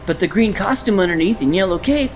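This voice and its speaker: A woman's voice: medium pitch, expressive, quick pace, darkish tone, slight background noise, close to an everyday voice. A woman voicing an eager, cocky boy sidekick in an audio drama, brash and enthusiastic.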